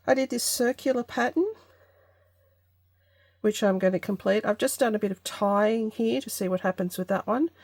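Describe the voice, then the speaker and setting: A woman hosting a casual craft vlog, congested from an allergy, talking through her work in an unhurried, tentative way as she thinks aloud. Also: medium pitch, a little mellow, no echo. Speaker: craft channel host.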